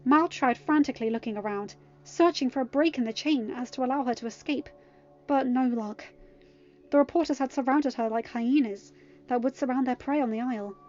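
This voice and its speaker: A woman's voice: sits high, fairly quick, a little mellow, highs cut off, faint music behind. A woman narrating a scripted piece of fiction as an audiobook reader.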